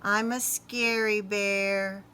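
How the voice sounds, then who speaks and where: A woman's voice: mid-range voice, little pitch movement, very slow, sharp tone, a little hiss or hum. Woman playing a big, earnest young storybook bear. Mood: sad, sobbing. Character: big hairy young grizzly bear, friendly, clumsy, easily hurt.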